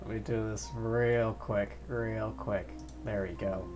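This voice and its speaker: A man's voice: on the low side, steady tone, slow. A man chatting casually as he gives live commentary on a video game stream. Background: game music.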